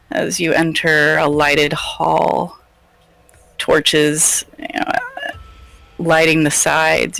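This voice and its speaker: Gravelly game master narrating. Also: male voice, a little high, no echo, poor audio. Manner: relaxed, descriptive, filler-laden.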